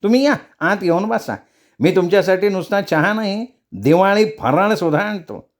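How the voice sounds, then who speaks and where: A man's voice: high-pitched, animated, moderate pace, voice pushed up. A man voicing a cranky, nasal, half-deaf old writer who is brusque and pompous in a comic story. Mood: self-satisfied. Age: older. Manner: brusque, demanding people speak up.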